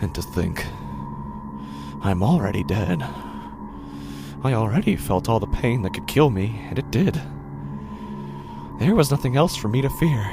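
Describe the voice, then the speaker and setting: A man playing an anguished, rotting living corpse in a horror audio drama, speaking haltingly, repeating himself and dropping into whispers. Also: lowish voice, very animated, gravelly, exaggerated voice. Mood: anguished questioning. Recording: music behind the voice. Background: music.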